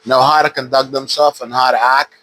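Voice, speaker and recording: A man in his fifties from the Bahamas, a retired boxer calmly telling his story in an interview at a boxing gym. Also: medium pitch, fairly quick, slight background noise, low-quality recording. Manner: relaxed, steady storytelling with frequent "uh". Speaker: retired pro boxer, guest. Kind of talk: casual interview.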